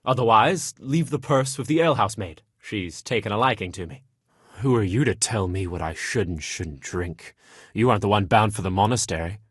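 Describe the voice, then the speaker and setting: A man's voice: on the low side, lively intonation, moderate pace, slightly rough, dry recording, clean studio sound. A man playing a lusty, self-pitying young nobleman in a video game, complaining dramatically in coarse, colloquial speech. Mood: wary, conspiratorial. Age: young adult. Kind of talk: video game character dialogue.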